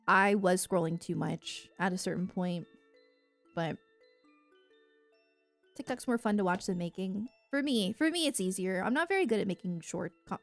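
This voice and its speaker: An American woman streamer talks off the cuff to her viewers, rambling and informal with plenty of opinions. Her voice sits at a middle pitch for a woman, close and dry on the mic, with music playing behind her. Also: no room sound. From United States. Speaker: live streamer and content creator. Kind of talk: casual livestream chat with viewers.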